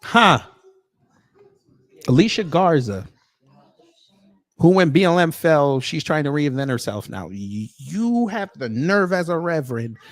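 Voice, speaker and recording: A man's voice: a little high, no room sound. Sarcastic American man giving opinion commentary, dry sound. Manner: sarcastic, confrontational, with pointed pauses. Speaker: online commentary host. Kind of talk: off-the-cuff opinion commentary, reading posts aloud.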